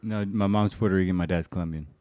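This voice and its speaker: A man's voice: sits low, fairly even intonation, fast, warm tone, no echo. A man from Panama speaking casually as a podcast co-host, picked up off-mic. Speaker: podcast co-host and fact-checker. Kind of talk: casual chat.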